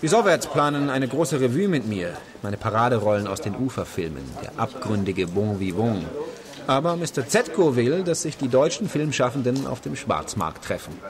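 A man's voice: medium pitch, very animated, moderate pace, lightly acted. Male actor, theatrical old-school film star and hustler, declamatory, sardonic, radio play, busy crowd noise. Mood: grandiose, sardonic. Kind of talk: German radio play dialogue. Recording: busy urban crowd behind the voice.

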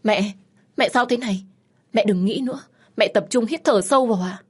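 Woman acting an earnest, husky young widow. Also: mid-range voice, moderate pace, darkish tone, lightly acted. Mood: alarmed, urgent. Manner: deferential, earnest. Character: gentle, dutiful young pregnant widow.

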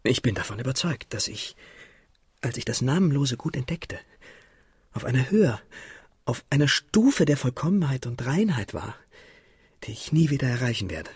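An actor playing a haunted, guilt-laden young idealist in a radio drama, confessional and intense, with a breathy, husky, creaky voice. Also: male voice, mid-range voice, big pitch swings, normal speed, long pauses, a little mellow, no echo, studio quality, exaggerated voice. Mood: brooding, yearning.

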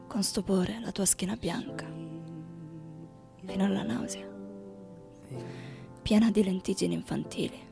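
An actress playing a weary, tender lover in an intimate literary radio drama, with a gravelly, creaky voice. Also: female voice, mid-range voice, warm tone. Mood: hushed, tender. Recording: voice over faint-to-clear music bed.

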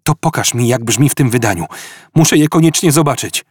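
A man's voice: medium pitch, lively intonation, a little fast, breathy edge, dry recording, clean studio sound, voice pushed up. A man voicing a frantic, indignant space traveler. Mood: eager. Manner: agitated, exclaiming. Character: flustered, indignant Englishman stranded in space.

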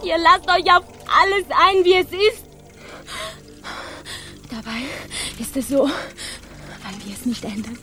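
A defiant, scornful young woman aboard a pirate ship in a German radio drama. Her voice sits very high, with biting, declamatory delivery. Faint music runs behind her. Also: very animated, slow, long pauses, sharp tone, heavily acted. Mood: bitter, accusing.

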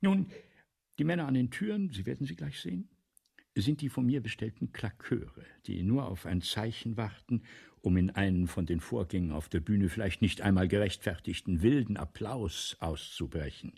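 An actor in a German radio play, playing a vain, scheming, imperious celebrated writer with pompous, theatrical, lecturing speech. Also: male voice, fairly low pitch, normal speed, gravelly, mellow, breathy edge. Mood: smugly scheming.

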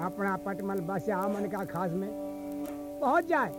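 A man. He performs as a traditional bard, alternating sung verse with narration, while an instrument plays clearly along on a field cassette recording. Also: high voice, lively intonation, unhurried, over loud music. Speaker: traditional folk storyteller and singer. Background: musical instrument accompaniment.

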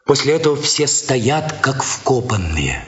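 A man's voice: medium pitch, very animated, a little slow. A man narrating a radio drama, reading out the stage directions as scripted narration. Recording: dull echoey recording with noise.

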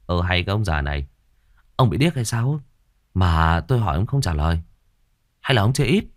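A man's voice: low voice, animated, normal speed, a little mellow, dry recording, clean studio sound. A man playing a scornful, rude young man. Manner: scornful, scolding.

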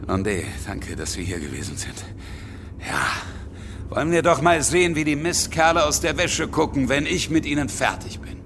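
Radio drama: blunt, outraged revolutionary leader, creaky gritty voice, defiant. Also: male voice, on the high side, animated, moderate pace, fairly bright tone, faint music behind. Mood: defiant indignation.

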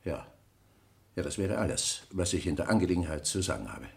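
Fussy banker, lowish and very animated. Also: male voice, brisk, vocal fry, full character voice. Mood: precise, formal. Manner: formal, fussy, easily affronted. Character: fussy, self-important, hurried male banker called as witness. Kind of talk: German radio play acting.

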